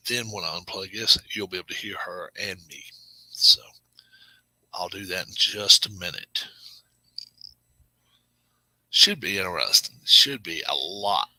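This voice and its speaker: A middle-aged man hosting a casual live stream, chatting with viewers in a rambling, self-correcting way and sometimes dropping to a low murmur. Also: sharp tone, dry recording, rough recording. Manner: drops to a low murmur at times. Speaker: channel host.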